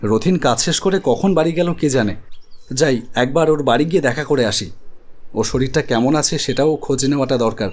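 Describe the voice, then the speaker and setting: An actor playing an anxious idol-maker who recounts a horror story in a dramatic, expressive first-person narration. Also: male voice, mid-range voice, moderate pace, natural voice. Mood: narrating, alarmed. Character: anxious male idol-maker recounting his ghostly encounter. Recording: studio voice over music bed.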